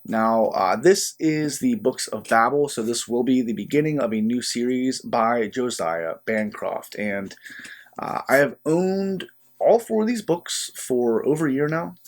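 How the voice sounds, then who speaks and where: A man's voice: medium pitch, expressive. Sniffly young man with a cold. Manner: chatty and easygoing, many filler pauses. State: sick and sniffly. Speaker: book channel host. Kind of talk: casual vlog talk to camera.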